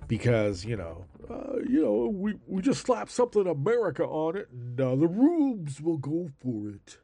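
A man hosting a political talk show, stuffed up from allergies, rambling and halting with many fillers. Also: on the high side, huge pitch swings, vocal fry, mellow, slightly rough, dry recording. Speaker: podcast host. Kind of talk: casual political commentary.

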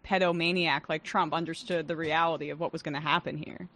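A woman's voice: sits low, steady tone, fairly quick, fairly bright tone, a little hiss or hum. A woman co-hosting a podcast, giving blunt and opinionated political commentary. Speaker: podcast co-host.

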